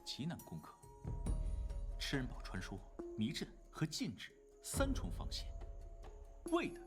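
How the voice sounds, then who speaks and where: A man playing a sharp, playfully needling young man in a Chinese animated drama, with a theatrical, probing delivery. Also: medium pitch, unhurried. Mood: grave explaining. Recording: loud music under the dialogue.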